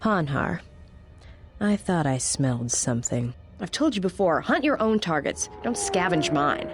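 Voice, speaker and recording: A woman's voice: lowish voice, lively intonation, measured pace. A woman playing a tough, sardonic bounty hunter in a video game, taunting and defiant. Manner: sardonic, cocky. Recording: loud music under the voice.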